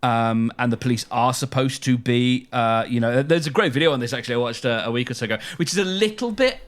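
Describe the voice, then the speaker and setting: Halting British man explaining things casually. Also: mid-range voice. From United Kingdom. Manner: halting, hedging, self-correcting. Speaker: podcast co-host.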